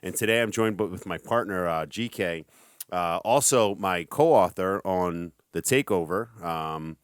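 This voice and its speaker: Deep-voiced energetic podcast host man. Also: unhurried, clear, resonant tone, dry recording, clean studio sound. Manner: energetic, hype-style motivational. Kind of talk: casual podcast talk.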